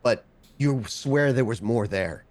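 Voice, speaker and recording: A man's voice: mid-range voice, expressive, takes it slowly, a little mellow. Man narrating a tabletop game. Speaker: game master.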